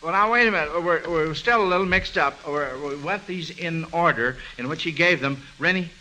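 A man hosts a vintage American radio quiz in an avuncular, halting patter. His voice sits fairly high and cuts through very sharply. The old broadcast recording has a faint hiss. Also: pushed and bright, poor audio. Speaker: quiz show host. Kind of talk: 1940s American radio quiz show hosting.

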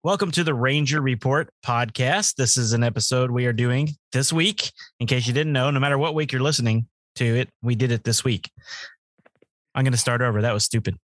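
A man's voice: mid-range voice, brisk, fairly bright tone, no echo. A man hosting a podcast in casual chat, joking lightly at his own expense. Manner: self-deprecating, light joking. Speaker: podcast host.

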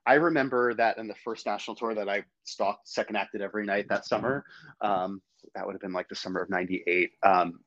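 A man chatting as a podcast guest, animated and gushing as he tells stories. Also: lowish voice, fairly quick, no echo, lo-fi sound. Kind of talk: casual podcast chat.

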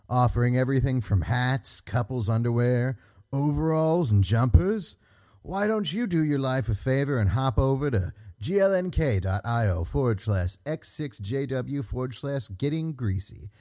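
A man's voice: medium pitch, mellow. A man reading a scripted comic ad for a podcast. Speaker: ad reader.

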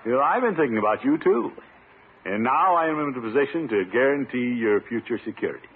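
A man's voice: mid-range voice, lively intonation, fast talker, slightly rough. An actor playing a pompous, flustered middle-aged bachelor turned insurance salesman in a radio comedy, with a hesitant, blustering manner. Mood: eager. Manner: hesitant, blustering, chuckling delivery. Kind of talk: 1940s radio comedy acting. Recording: tinny, noisy old radio recording.

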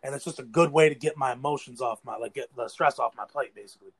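A man's voice: mid-range voice, fast, gravelly, fairly bright tone, breathy edge. An eighteen-year-old American man from New York State talking casually as an interview guest, earnest and rambling, with plenty of slang. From New York State, United States. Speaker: interview guest, battle rapper.

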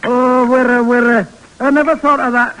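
An actor playing a cheerful, folksy, penniless tinker who stammers and repeats himself in an old radio drama. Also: male voice, very high voice, flat delivery, normal speed, fairly bright tone. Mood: busy, fussing. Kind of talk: 1940s radio drama acting. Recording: noisy vintage radio broadcast recording.

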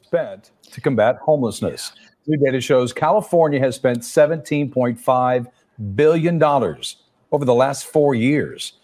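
A man's voice: mid-range voice, unhurried, darkish tone. A man reading a scripted television news report. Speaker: news presenter.

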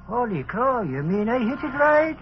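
An actor playing a slow-witted, excitable detective sidekick full of folksy exclamations, in 1940s radio style. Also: male voice, very high voice, exaggerated voice. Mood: amazed. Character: dim, excitable, good-natured slangy male detective sidekick. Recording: dull, noisy old radio recording.

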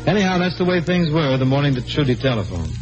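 Young country doctor, wry n a bit romantic, highish voice, old radio show w loud music. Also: male voice, big pitch swings, fast talker, low-quality recording. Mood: reflective. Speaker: actor. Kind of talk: old-time radio drama acting.

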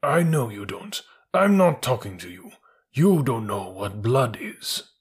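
A man voicing a high-strung, sensitive male army horse in a storybook, earnest and skittish. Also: big pitch swings, measured pace, breathy edge, full character voice. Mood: earnest, uneasy.

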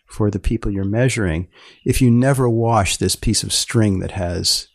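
A man hosting an interview podcast, speaking deliberately with repeated phrases and pauses. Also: lowish voice, vocal fry, no room sound, clean studio sound.

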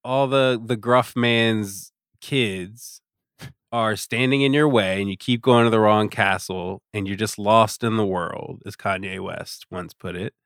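A man's voice: lowish voice, fairly even intonation, a little slow, fairly bright tone, clear, resonant tone, no echo, studio quality. A man chatting casually as an opinionated podcast guest, with informal, emphatic phrasing. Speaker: podcast guest, staff writer.

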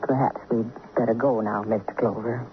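Coddling nurse, noisy old radio. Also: female voice, a bass voice, steady tone, moderate pace, lo-fi sound. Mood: soothing, firm. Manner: saccharine, coddling. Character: cooing, patronizing female nurse speaking in "we". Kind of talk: 1950s radio drama acting.

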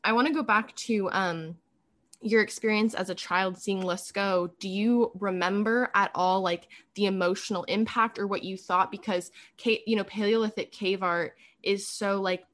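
A woman's voice: medium pitch, fairly even intonation, normal speed, fairly bright tone. A woman hosting an interview podcast, asking chatty, eager questions. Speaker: podcast host. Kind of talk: casual interview.

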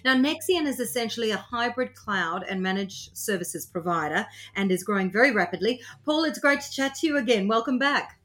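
A woman hosting a finance podcast interview, friendly and quick to laugh. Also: on the high side, lively intonation, fairly bright tone. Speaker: podcast host.

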